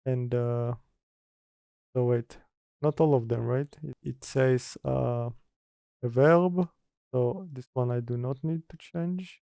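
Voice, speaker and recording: A man presenting an informal software tutorial, halting as he reads steps from notes and mutters through them. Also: mid-range voice, creaky, no echo. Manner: halting, mutters step by step while working. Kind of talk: informal screen-recorded software tutorial, partly read from notes.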